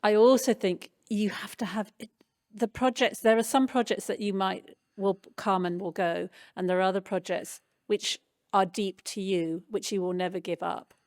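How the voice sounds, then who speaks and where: A woman's voice: mid-range voice, steady tone, moderate pace, darkish tone, no room sound, clean studio sound. A woman answering interview questions in an earnest, reflective way. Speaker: film director and writer.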